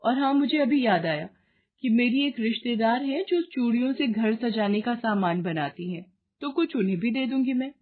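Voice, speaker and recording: Woman voicing a high-pitched, confident rich young lady. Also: expressive, normal speed, narrow, tinny audio. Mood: cheerful. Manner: bright, polite, decisive. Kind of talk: acted dialogue in a moral story.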